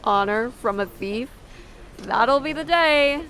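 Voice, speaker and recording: An actress in an audio drama playing a tough, sardonic, no-nonsense police officer who speaks curtly and bossily. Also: female voice, a little high, lively intonation, slow pace, a bit bright, full character voice. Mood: stern, scornful. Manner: curt, sardonic, bossy.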